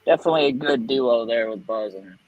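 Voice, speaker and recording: A man chatting casually as a livestream guest in a friendly, halting way, often repeating his phrases. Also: mid-range voice, animated, a little mellow, dry recording, muffled recording. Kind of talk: casual chat.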